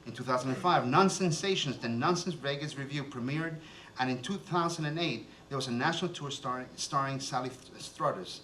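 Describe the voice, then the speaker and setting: Joking cuban-american emcee in a roomy hall. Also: male voice, mid-range voice, a bit bright, a little hiss or hum. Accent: Cuban-American, raised in New Jersey. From Cuba. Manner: teasing, self-mocking, quick jokes. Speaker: host and emcee. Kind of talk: joking emcee speech at an awards ceremony. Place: stage in an event hall. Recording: roomy hall, mic heard from far off.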